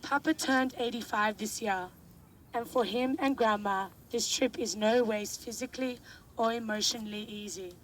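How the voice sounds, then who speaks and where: A woman's voice: on the high side, moderate pace, a little hiss or hum, rough recording. A woman giving a sincere personal reflection. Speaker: participant on a memorial trip.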